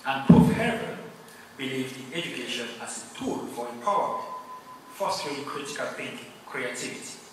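A man from Cameroon, a native Yemba speaker, giving an earnest, grateful award acceptance speech with measured pauses. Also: mid-range voice, fairly bright tone. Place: large hall. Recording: echoey hall, distant mic, noisy.

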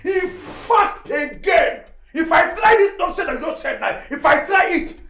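An actress playing a defiant, stubborn household servant with a Nigerian accent, in repetitive, theatrical outbursts, recorded with roomy echo. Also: female voice, very high voice, animated, unhurried, gravelly, fairly bright tone, heavily acted. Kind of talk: Nigerian comedy-drama film acting.

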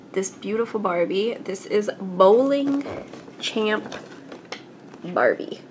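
A woman's voice: mid-range voice, slight background noise. A congested young woman chatting enthusiastically as she reviews a collectible doll in a casual vlog. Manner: chatty and enthusiastic, with frequent fillers. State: congested from a recent illness.

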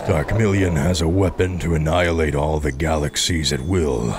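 A man's voice: rumbling low, fairly even intonation, normal speed, noisy background. A man playing a stern, authoritative older space fleet commander in an anime dub. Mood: stern, dry.

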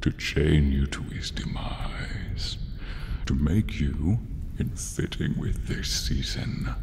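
A man playing a tall horned demon in an ASMR roleplay, breathy and gravelly, menacing and theatrical with a comic edge. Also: very deep voice, slow pace, background noise, full character voice. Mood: hushed menace. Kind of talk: ASMR roleplay, slow dramatic delivery.

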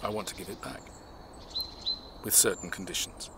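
A man acting in an audio drama, playing a reserved, measured man who recounts his family's past in a reflective way. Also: sits low, fairly even intonation, heavy background noise, lightly acted.

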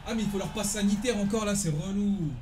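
A man's voice: high-pitched, fast talker, warm tone, reverberant, a little hiss or hum. A young man from France, a streamer chatting casually and in slang while he plays a football video game. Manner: slangy, informal, talking to viewers. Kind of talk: casual live gaming chat with slang. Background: football video game audio and commentary.

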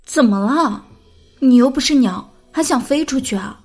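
A woman's voice: high voice, lively intonation, moderate pace, darkish tone, faint music behind. An actress playing a spirited, blunt but kind-hearted young woman in an audio drama, playfully scolding. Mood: teasing. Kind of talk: audio drama character acting.

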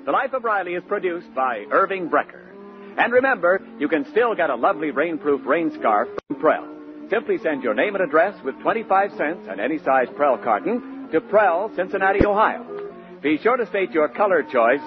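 An American man announcing in polished, scripted 1940s radio style. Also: a little high. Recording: dull old radio recording with music.

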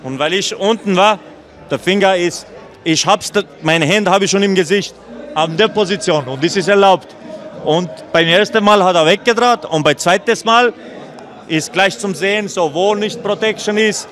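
Guy in his 30s, post-fight interview, rambly and blunt, kinda high voice, handheld mic. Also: normal speed, a bit bright. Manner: rambling, blunt, restarts and mixes in English. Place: event venue backdrop, indoors.

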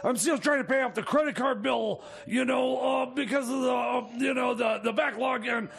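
A hapless mechanic for a radio comedy. The voice is extremely high for a man and full of gravelly creak. He stammers and whines in exasperation as he goes. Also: moderate pace, fairly bright tone, raised voice, heavily acted. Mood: hapless, scheming. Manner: stammering, whiny complaining. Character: exasperated, hapless male time machine mechanic, scheming for cash. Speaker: comic sidekick on a radio show.

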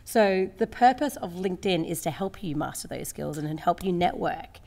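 A woman in her late thirties with a slightly croaky voice, giving a conversational, upbeat workshop talk from a lectern microphone in a large school hall. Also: fairly low pitch, lively intonation, normal speed, darkish tone. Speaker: company representative giving a workshop. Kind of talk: presentation to parents and teachers.

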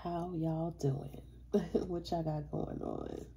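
A woman's voice: low-pitched, huge pitch swings, slow, creaky, background noise. A woman with a stuffy, congested voice giving a casual tarot reading in loose, slangy, blunt talk that turns profane. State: stuffy from allergies and a cold. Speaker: tarot reader.

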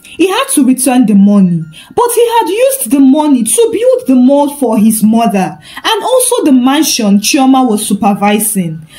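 A woman narrates a scripted story in a high voice. Her intonation is very lively, moving widely up and down as she tells it. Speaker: storyteller and channel host.

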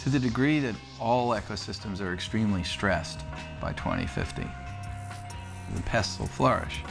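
A man giving measured expert commentary in a documentary interview, with music behind him. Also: on the low side, animated, vocal fry.

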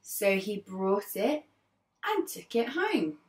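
A woman in her thirties reading a children's story aloud like an infant teacher, expressively, with dramatic pauses between phrases. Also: mid-range voice, sing-song. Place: living room sofa, indoors. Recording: roomy, distant mic, faint music behind.

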